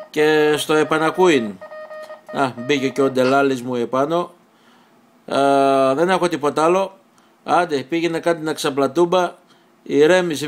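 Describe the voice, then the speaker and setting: A man, an amateur radio operator, bantering casually over a radio transmission. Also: a little high.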